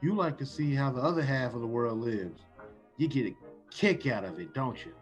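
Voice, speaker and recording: A man's voice: mid-range voice, lively intonation, normal speed, close to an everyday voice. An actor playing a tough, slangy, streetwise man in an old-time radio drama. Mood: menacing. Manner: slangy tough-guy talk. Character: tough, suspicious streetwise man with a soft streak. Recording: dull sound with music.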